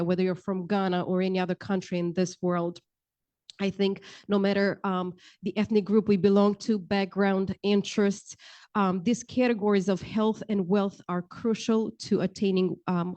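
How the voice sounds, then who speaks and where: A woman's voice: medium pitch, dark tone, muffled recording. A woman, speaking earnestly on a panel with frequent ums into a handheld mic. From Romania. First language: Romanian. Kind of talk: panel discussion talk. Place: large indoor event hall, seated at panel table.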